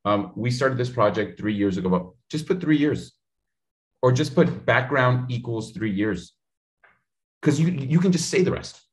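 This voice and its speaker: Energetic man coaching on a video call. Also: mid-range voice, moderate pace. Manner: animated, gestures a lot, talks straight to the viewer. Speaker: public speaking coach. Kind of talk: energetic advice in an interview. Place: home room on a video call. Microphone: studio mic in frame on a video call.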